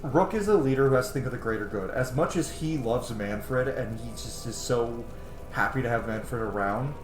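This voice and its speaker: A man's voice: mid-range voice, normal speed. A man in his thirties giving casual reaction commentary as he plays a video game. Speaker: gaming video host. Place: small room at home. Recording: roomy, faint game music behind.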